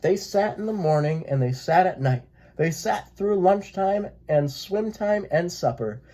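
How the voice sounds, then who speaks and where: Slow, mellow storybook reader. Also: mid-range voice, animated. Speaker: read-aloud storyteller. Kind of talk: children's picture book read-aloud.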